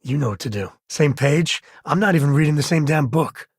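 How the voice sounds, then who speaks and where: An actor playing a loyal, wry space ranger and protective father in a video game, with a husky, raspy voice and folksy, conversational delivery. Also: a little high, lively intonation, fairly quick, no echo, studio quality. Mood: wry, easygoing. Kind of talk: scripted game dialogue, conversational acting.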